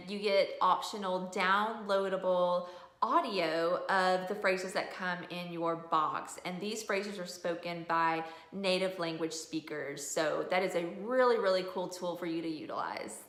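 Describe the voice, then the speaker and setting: A woman's voice: on the low side, measured pace, fairly bright tone. A middle-aged woman giving a casual, explanatory video review as a homeschooling mom. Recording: roomy indoor echo.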